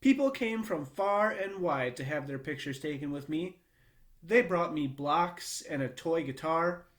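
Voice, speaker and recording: A man reading a children's book aloud in a measured, expressive storytelling manner with short pauses. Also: on the high side. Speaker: read-aloud reader.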